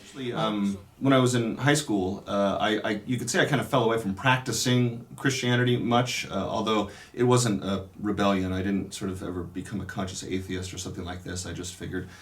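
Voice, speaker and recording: A man from California, a Dominican priest, telling his life story in a casual interview, reflective and unhurried, with many pauses and restarts. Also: lowish voice, steady tone.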